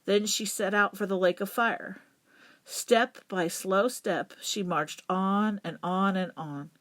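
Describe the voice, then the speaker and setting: A woman reading a children's folktale as a storyteller, with dramatic, deliberate emphasis. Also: medium pitch, a bit bright. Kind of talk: expressive children's folktale narration.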